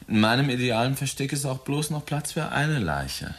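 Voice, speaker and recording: A man's voice: mid-range voice, very animated, moderate pace. A man in a German radio drama playing a cynical 23-year-old murderer who taunts his father in a cold, flippant, insinuating way. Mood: veiled threat.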